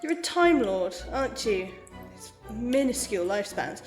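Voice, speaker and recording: A woman playing an immortal warmongering villainess in an audio drama, camp, theatrical and condescending, with a teasing, mocking manner. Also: mid-range voice, big pitch swings, normal speed, close to an everyday voice. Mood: teasing, menacing. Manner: theatrical, arch, condescending, uses pet names like dearies. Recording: roomy echo, faint music behind.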